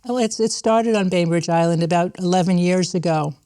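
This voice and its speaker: Mellow, dark-voiced woman being interviewed. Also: fairly low pitch, fairly quick. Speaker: gallery president, interview guest. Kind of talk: conversational interview answers.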